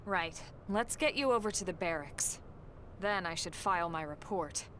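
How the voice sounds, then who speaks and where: An actress playing a calm, businesslike military officer with a matter-of-fact manner in a video game. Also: female voice, on the low side, moderate pace, slight background noise. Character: composed, businesslike female military officer, quietly confident. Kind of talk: video game cutscene voice acting.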